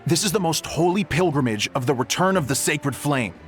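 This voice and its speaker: A man voicing a flustered, excitable young man in a video game, stammering and incredulous. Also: on the high side, fairly quick, faint music behind.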